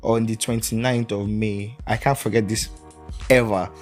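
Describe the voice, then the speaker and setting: A man's voice: fairly low pitch, steady tone, normal speed, soft background music. A young man in his early twenties hosting a casual solo podcast, rambling, correcting himself and laughing often. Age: young adult. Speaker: podcast host.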